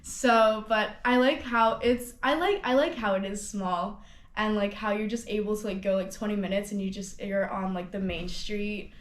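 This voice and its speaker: A woman's voice: medium pitch, steady tone, moderate pace. A nineteen-year-old woman from Hong Kong, a student ambassador, chatting casually about her studies with many "like"s and restarts. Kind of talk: casual testimonial interview. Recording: roomy echo.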